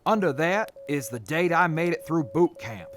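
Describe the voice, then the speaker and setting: Earnest man playing a higher-voiced mercenary major, noisy recording. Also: lively intonation, moderate pace, fairly bright tone. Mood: pained, grumbling. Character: proud, weary male mercenary major, sincere and earnest. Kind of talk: post-apocalyptic audio drama acting.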